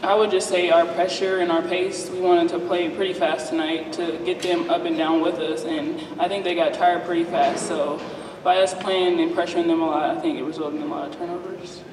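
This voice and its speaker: A young woman athlete answers plainly and low-key, with a flat, even tone. She often opens with a filler phrase. Her voice is caught from a distance in a reverberant hall. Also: fairly quick. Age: young adult. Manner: low-key and matter-of-fact, starts answers with "I mean". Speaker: college basketball player. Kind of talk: press conference answers. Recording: echoey hall, distant mic, room noise.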